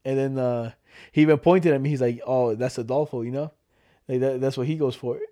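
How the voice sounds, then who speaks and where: A man's voice: mid-range voice, fairly even intonation, a little fast, warm tone. A young man with a smoky voice, co-hosting a podcast in casual chat, rambling with lots of fillers and slang. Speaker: podcast co-host.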